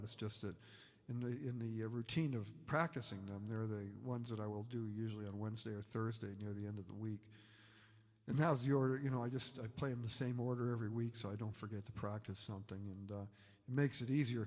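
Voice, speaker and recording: A middle-aged singer-songwriter talking casually between songs on a livestream, rambling with self-deprecating asides. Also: male voice, medium pitch, long reverb tail. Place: home livestream setup.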